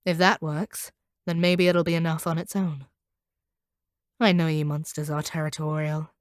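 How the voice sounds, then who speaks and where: Woman playing a low-voiced, domineering monster hunter. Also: little pitch movement, no room sound, studio quality, close to an everyday voice. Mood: calculating, condescending. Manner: domineering, condescending, measured. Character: proud, cold, bitter monster hunter woman taming a captive. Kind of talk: scripted audio roleplay monologue.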